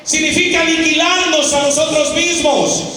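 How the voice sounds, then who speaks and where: Shouting preacher man in an echoey hall. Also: very high-pitched, fairly even intonation. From United States (Arizona). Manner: shouting, drawn-out emphatic words, prompting the crowd to repeat. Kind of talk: fiery call-and-response sermon. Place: large school hall with a congregation. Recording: echoey hall recorded from far off. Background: faint crowd and room noise.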